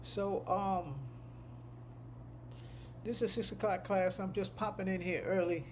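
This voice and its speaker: A woman with a deep voice, a medical coding instructor, chatting casually to her class on a live stream, rambling and repeating points for emphasis. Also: fairly even intonation, measured pace, slight background noise.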